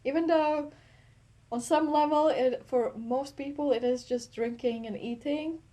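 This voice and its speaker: A woman from Latvia, co-hosting a podcast in casual chat, relaxed and quick with little asides. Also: high-pitched. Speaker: podcast co-host.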